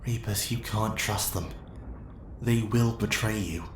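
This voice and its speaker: A man's voice: fairly low pitch, measured pace, pitched above the performer's own voice, close to an everyday voice. A man playing an earnest, righteous Jedi master in an ASMR roleplay, pleading with urgency. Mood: urgent warning. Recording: echoey with faint background noise.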